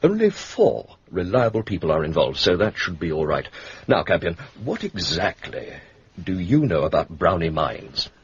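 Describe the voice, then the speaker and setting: Gruff older English civil servant, self-important, clipped, deep, dry close radio drama sound. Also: male voice, big pitch swings, moderate pace, no echo, lo-fi sound, exaggerated voice. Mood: brusque. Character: brisk, pompous senior government official, secretive and gruff. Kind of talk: British radio drama acting.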